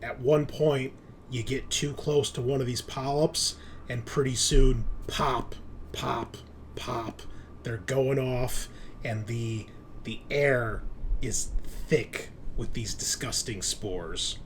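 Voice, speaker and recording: A man's voice: medium pitch, fairly even intonation, takes it slowly, slight background noise. A man acting as game master, narrating a tabletop roleplaying game in a casual, descriptive way and explaining rules.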